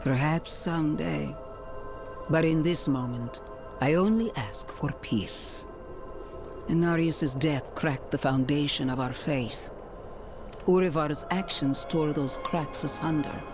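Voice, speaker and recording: Slow, imperious older woman church leader. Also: deep voice. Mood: solemn, conciliatory. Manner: formal, deliberate, imperious. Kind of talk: dramatic video game acting. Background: cinematic background music.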